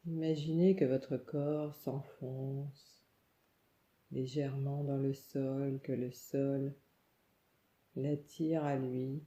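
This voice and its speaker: A woman leads a guided relaxation meditation, calm and soothing, giving gentle instructions in short phrases with pauses. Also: extremely low pitch. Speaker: yoga nidra meditation guide. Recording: muffled, echoey room sound.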